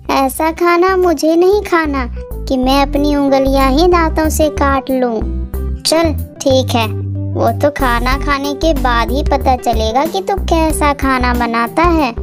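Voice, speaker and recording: A woman's voice: sits high, takes it slowly. A woman voicing a snooty, short-tempered old woman in a Hindi cartoon story, bossy and scolding. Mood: indignant. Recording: music playing behind the voice.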